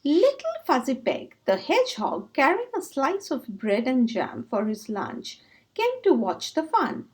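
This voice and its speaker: A woman reading a children's story aloud in expressive storybook narration. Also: sits high, a little slow.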